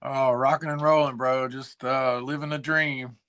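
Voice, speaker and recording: A hoarse man, a musician guest chatting casually and laid-back on a video call. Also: medium pitch, little pitch movement, moderate pace, fairly bright tone. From Tennessee. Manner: laid-back, slangy, says "man" and "dude". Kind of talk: casual chat.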